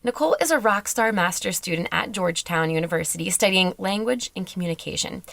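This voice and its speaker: A woman's voice: medium pitch, gravelly, a bit bright, dry recording. Upbeat woman podcast host, dry close mic. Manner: upbeat, playful, emphatic. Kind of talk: scripted intro then interview.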